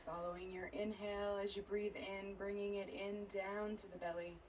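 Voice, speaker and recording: A woman leading a guided yoga and relaxation practice as an instructor, speaking in a gentle, soothing way with unhurried phrasing. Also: mid-range voice, level tone, a little hiss or hum, low-quality recording.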